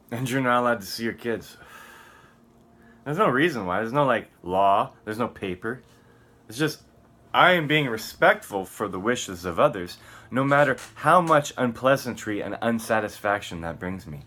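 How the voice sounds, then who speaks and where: A man's voice: medium pitch, animated, normal speed, bright, forceful tone. A man on a rambling solo vlog rant, profane and combative, swinging between muttering and outbursts. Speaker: vlogger.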